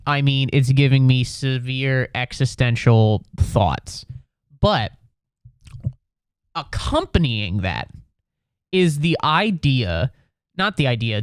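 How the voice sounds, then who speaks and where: A man in his twenties, sick with a cold, hosting a comedy podcast from home in a profane, exasperated rant with sudden squeaky outbursts. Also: no echo, clean studio sound. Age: 20s. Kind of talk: ranting comedic monologue. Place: home room.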